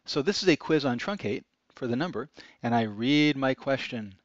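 A man's voice: medium pitch, lively intonation, moderate pace, dry recording. A man narrating a screencast walkthrough of a website, explaining each click step by step in an upbeat manner. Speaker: product demo presenter. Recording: dry, slightly dull sound.

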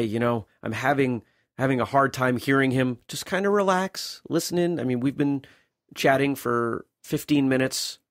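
A man's voice: mid-range voice, dry recording, studio quality. A man hosting a martial arts interview podcast, chatty and friendly, often repeating words as he starts a sentence. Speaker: podcast host. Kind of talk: casual interview.

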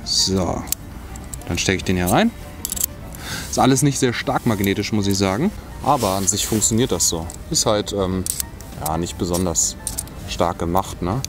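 A man's voice: low voice, moderate pace, long pauses, over music. A young man giving a casual hands-on review of outdoor gear, outdoors in a bare forest, thinking aloud as he tries things out.

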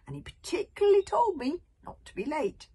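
A woman's voice: high-pitched, wildly swooping pitch, brisk, dark tone, pitched above the performer's own voice, full character voice. Woman putting on a worried viking boy, raised voice, keeps pressing his point. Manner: anxious, insistent. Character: anxious determined young Viking boy hero.

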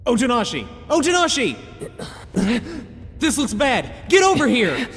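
A man's voice: very high-pitched, wildly swooping pitch, measured pace, in a large hall, a little hiss or hum, exaggerated voice. A man playing a loyal, supportive young companion in an anime fan dub, speaking earnestly. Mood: alarmed. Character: loyal, reassuring young male companion in survival drama. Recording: loud music behind the voice.

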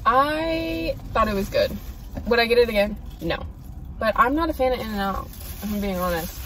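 A woman's voice: on the high side, animated, moderate pace, background noise. A young adult woman giving her frank, opinionated take in a casual food review chat from a parked car. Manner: candid, opinionated, laid-back. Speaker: host's daughter, co-reviewer. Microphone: phone camera on the dashboard, a bit distant. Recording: slightly distant phone mic in a car.

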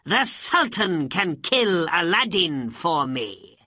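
Villain sorcerer for a fairy tale, woman performer, bossy, husky, swooping and loud. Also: lowish voice, very animated, takes it slowly, no echo, studio quality, pitched above the performer's own voice, exaggerated voice. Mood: commanding, gloating. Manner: forceful, imperious orders. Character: commanding villainous male magician Abanazar.

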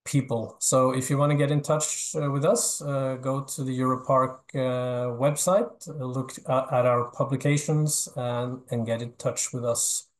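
Middle-aged man, hesitant and halting, filler-heavy webinar intro on a video call. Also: medium pitch, fairly even intonation. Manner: hesitant, many fillers and restarts. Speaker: park network task force speaker.

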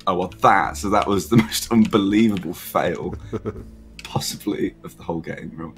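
A man's voice: low-pitched, moderate pace, faint music behind. A man chatting casually as he plays a game with a friend, jokey and self-deprecating. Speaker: co-player in a gaming video. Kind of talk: casual gameplay chat.

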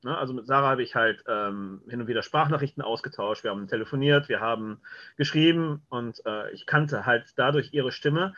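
A man with a bright, forceful voice at a middling pitch talks casually in an interview. The sound is dull and lo-fi, like an online call. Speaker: audio drama maker, interview guest. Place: indoors at home, video call.

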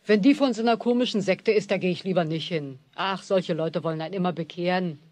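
An actress playing a gruff, sceptical old grandmother with a husky voice in a children's radio play. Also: fairly low pitch, quick pace, a little mellow, lightly acted. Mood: puzzled, grumbling.

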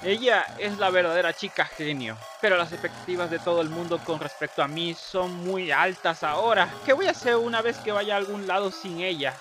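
A man voicing a vain, insecure young blue bird in a video game fandub, theatrical, halting and self-important. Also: high-pitched, music in the background, lightly acted. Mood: dejected, confessional.

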